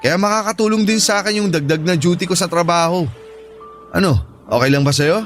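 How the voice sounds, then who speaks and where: Guy doing caring filipino sailor buddy in a drama, quite high voice, easygoing, light music behind. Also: fairly quick, natural voice. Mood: generous, easygoing. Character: caring, generous male Filipino shipmate and buddy. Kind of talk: Filipino audio drama acting.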